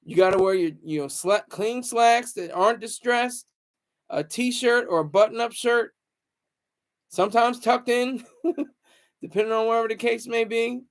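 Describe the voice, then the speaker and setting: High-pitched man chatting casually on a livestream. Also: normal speed. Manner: rambling, repeats phrases for emphasis. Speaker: livestream host. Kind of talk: casual livestream chat with viewers.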